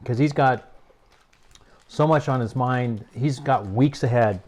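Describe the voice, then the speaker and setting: A man, a team member, chatting casually in a relaxed, plainspoken way. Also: mid-range voice, unhurried. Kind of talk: casual group chat.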